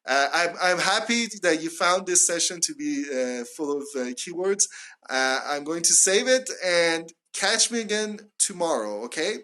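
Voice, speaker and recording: Bright-voiced man teaching live. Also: on the high side, expressive, unhurried. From Canada. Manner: friendly, chatty, many uh fillers. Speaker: English teacher. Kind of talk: live-streamed English lesson. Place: small room indoors at home. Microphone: phone at arm's length.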